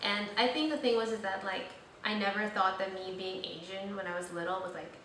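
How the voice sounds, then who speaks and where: A woman's voice: medium pitch, fairly even intonation, a little fast, fairly bright tone. A young woman hosting her vlog, chatting casually and rambling with lots of fillers. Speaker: vlogger host. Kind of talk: casual chat. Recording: echoey room, slightly distant mic, faint noise.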